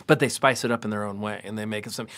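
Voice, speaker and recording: A man's voice: lowish voice, fairly even intonation, rapid-fire, a bit bright, no echo. A man in his forties, a podcast guest in casual chat, talking emphatically and preaching his views. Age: 40s.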